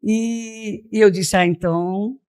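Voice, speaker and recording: A woman's voice: mid-range voice, steady tone, slow pace, darkish tone. A middle-aged woman, telling her story as an interview guest and often checking in with "né?". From Piracicaba, São Paulo state, Brazil. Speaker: missionary, podcast guest. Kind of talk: personal testimony in a conversational interview.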